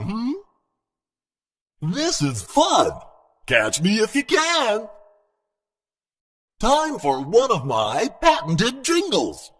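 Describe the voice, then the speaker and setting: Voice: mid-range voice, husky, big-room sound, full character voice. An actor voicing a cheerful, needy toy animatronic entertainer in a video game, theatrical and falsely friendly with menace underneath.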